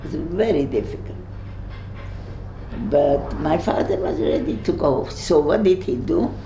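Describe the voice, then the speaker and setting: An older woman with a Hungarian accent speaks slowly and low, pausing often and reaching for words as she tells her memories. Her voice is mellow and dark. The room gives it a noticeable echo, with faint ambience behind. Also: expressive, creaky, slight background noise. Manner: halting, searches for words, many fillers and restarts. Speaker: Holocaust survivor speaking to visitors. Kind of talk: recounting memories in conversation.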